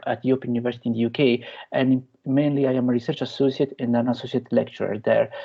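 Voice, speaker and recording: A man's voice: medium pitch, vocal fry. Italian guy giving a lecture on a call, lots of uhs, kinda muffled audio. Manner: hesitant, many fillers and restarts. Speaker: guest lecturer. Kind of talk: online academic lecture. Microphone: video call.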